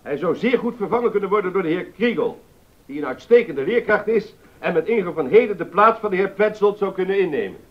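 A stern, accusing councillor in a period docudrama, high in pitch and swinging emphatically. The sound is dull and lo-fi. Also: male voice, lively intonation, a little fast. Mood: formal. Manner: emphatic, formal, severe. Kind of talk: Dutch docudrama acting. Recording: dull lo-fi recording.

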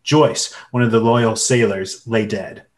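A man's voice: on the low side, steady tone, unhurried. A man giving dramatic scripted narration as the storyteller of an adventure radio play.

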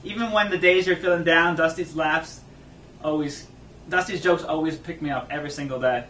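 A man in his thirties with a fairly high voice hosts a cooking show in an upbeat, agreeable way. He is picked up from a distance in an echoey room. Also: a little fast, sharp tone, a little hiss or hum. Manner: upbeat, agreeable, laughs often. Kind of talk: comedic cooking show patter.

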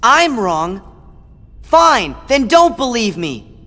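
Headstrong teen boy, high lively voice, defensive, game cutscene with music. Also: slow, in a large hall, exaggerated voice. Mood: impatient. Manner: defensive, impatient. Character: spiky-haired teen boy hero, stubborn and headstrong.

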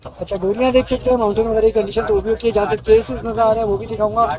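A man's voice: high voice, level tone, very fast, music in the background. A young man from Pakistan, walking through an outdoor car market and chatting into a handheld mic as he shows off a car. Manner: chatty, repeats "bhai" and "okay". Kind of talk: walkthrough commentary. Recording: dull lo-fi sound.

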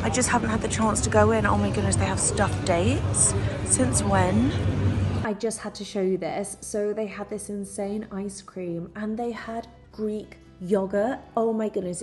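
A young woman chatting to her camera as she shops, gushing over what she sees. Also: medium pitch. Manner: gushing, chatty, talking to camera while walking. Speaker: vlogger. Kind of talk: casual shopping vlog chat. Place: busy city street and shops, outdoors and indoors. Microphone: handheld camera at arm's length. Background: music.

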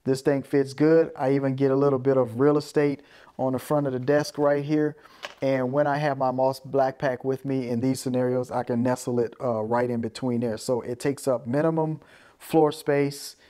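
A man's voice: mid-range voice, level tone, moderate pace, warm tone. A man giving a casual hands-on gear walkthrough as a presenter, explaining step by step and often checking in with "right?". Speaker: presenter of an audio gear video. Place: small carpeted room indoors.

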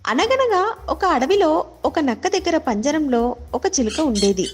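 A woman's voice: high-pitched, sing-song, a little slow. A woman storyteller reading scripted moral animal tales for children. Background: faint music.

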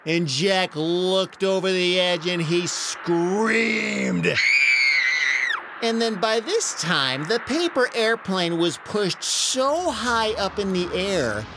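A woman narrating a story for children in an expressive, animated storytelling style. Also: mid-range voice, takes it slowly, shouting, noisy background. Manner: expressive, acts out excited exclamations.

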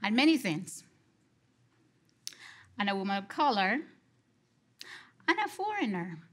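A middle-aged woman from Colombia with a Colombian Spanish accent, giving an expressive conference response from a lectern on a large stage, speaking into a headset mic. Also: medium pitch. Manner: expressive, gesturing, slow and deliberate. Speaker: lecture respondent, psychology professor.

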